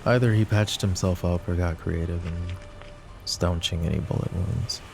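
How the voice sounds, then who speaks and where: A man playing a possessive, overprotective mafia boss in an intimate roleplay audio drama, with a low gravelly voice and a measured, commanding manner. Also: steady tone, music in the background. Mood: serious, measured. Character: possessive, overprotective male mafia boss, curt but caring. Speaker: voice actor.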